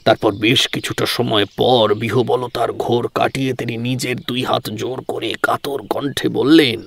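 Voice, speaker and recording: A man's voice: mid-range voice, fairly even intonation, measured pace, creaky, fairly bright tone, no room sound. A man telling a scripted first-person story as a dramatic narrator, in a formal, literary and theatrical manner.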